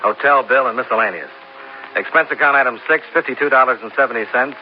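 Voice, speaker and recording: An actor playing a hard-boiled insurance investigator who narrates a 1950s radio drama in a dry, clipped way. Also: male voice, medium pitch, fast talker, close to an everyday voice. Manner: dry, clipped, matter-of-fact. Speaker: narrator and lead. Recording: hissy, dull old radio broadcast.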